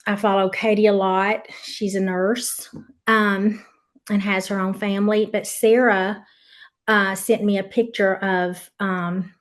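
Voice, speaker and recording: A woman's voice: mid-range voice, flat delivery, slow. A woman from Tennessee, a former camp leader, reminiscing as a podcast guest in an earnest, rambling way with frequent ums and restarts. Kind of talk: reminiscing interview.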